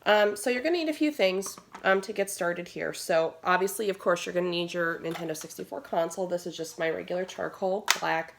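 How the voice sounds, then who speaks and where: Nasal, low-pitched American woman vlogger. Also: fairly quick, gravelly, few pauses. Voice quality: nasal, congested. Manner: informal, rambling with asides. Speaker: gaming video host. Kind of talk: casual tutorial vlog. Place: small room at home, indoors. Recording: noisy home recording with handling clicks.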